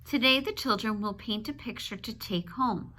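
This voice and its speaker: A woman teacher reading a children's book aloud, pausing to explain simply and check in with her young listeners. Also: medium pitch, sharp tone. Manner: instructive, addressing young children with check-in questions.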